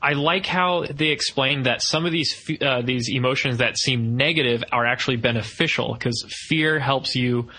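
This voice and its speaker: A man with a bright, forward voice talks as a podcast co-host in a casual review chat. His delivery is enthusiastic and freely gushing. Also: medium pitch, normal speed, few pauses.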